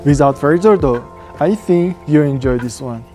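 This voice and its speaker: Plain-spoken interviewer with music behind. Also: male voice, fairly high pitch, normal speed, warm tone. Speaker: interviewer, host. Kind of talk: street interview questions and intro.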